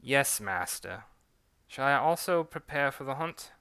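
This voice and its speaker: A deferential British manservant, as a man puts him on, speaking softly and politely. The tone is bright and smooth, recorded dry and close. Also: medium pitch, animated, unhurried, no room sound. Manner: polite, deferential, soft-spoken. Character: dutiful male British butler servant.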